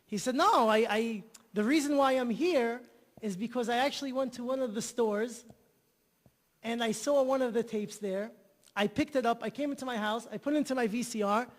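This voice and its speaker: A man's voice: extremely high pitch, normal speed, long reverb tail. A man telling an enthusiastic story as a guest on an interview show, often repeating himself. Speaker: guest, charity organizer.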